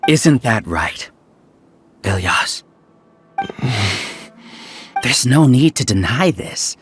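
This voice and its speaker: A smug scholar-villain. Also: male voice, medium pitch, very slow, noisy background. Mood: condescending. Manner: calm, condescending, lecturing. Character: smug male scholar-villain running a hive mind. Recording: faint game music behind.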